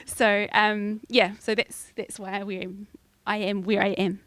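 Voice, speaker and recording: A woman's voice: mid-range voice, level tone, unhurried, fairly bright tone, no room sound. A woman, speaking hesitantly as a councillor on a conference panel. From New Zealand. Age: 20s. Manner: hesitant, laughs easily. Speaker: city councillor. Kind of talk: panel discussion.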